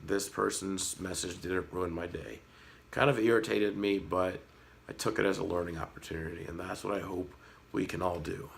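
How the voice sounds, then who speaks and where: A man in his thirties with a slightly hoarse voice, talking frankly and earnestly to his viewers in a casual vlog, with a light sarcastic edge. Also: low-pitched, a bit bright. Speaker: fish-keeping vlogger. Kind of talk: casual talk to camera.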